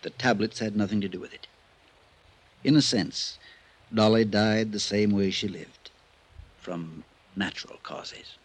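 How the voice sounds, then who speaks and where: Husky, thoughtful country doctor. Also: male voice, fairly low pitch, measured pace, long pauses. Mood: calm, reflective. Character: calm, thoughtful small-town doctor, a man. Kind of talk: old-time radio mystery acting.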